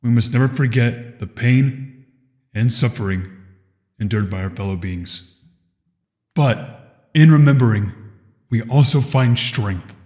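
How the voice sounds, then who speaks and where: A man's voice: medium pitch, a little mellow, natural voice. Man playing a grave alien statesman, long reverb. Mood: resolute. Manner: measured, grave, oratorical with weighty pauses. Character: grave alien co-chair commemorating his people's tragedies. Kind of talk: solemn memorial speech, sci-fi audio drama.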